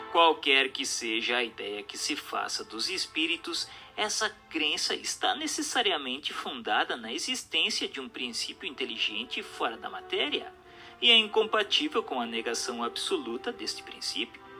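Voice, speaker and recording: A man with a Brazilian accent reading a scripted narration in an emphatic, didactic, rhetorical manner. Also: on the high side. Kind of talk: scripted dramatic narration of a spiritist text. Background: music under the voice.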